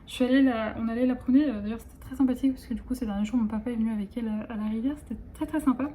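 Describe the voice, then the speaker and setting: Woman, late 20s, casual vlogger; rambling, filler-heavy talk, warm dark tone, phone recording. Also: on the high side, fairly even intonation, rapid-fire, slight background noise. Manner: chatty, rambling, many fillers and restarts. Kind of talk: casual vlog chat.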